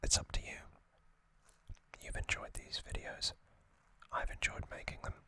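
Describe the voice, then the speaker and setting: A man hosting an ASMR series, narrating trivia in a breathy, unvoiced whisper with deliberate short phrases and pauses. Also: pushed and bright.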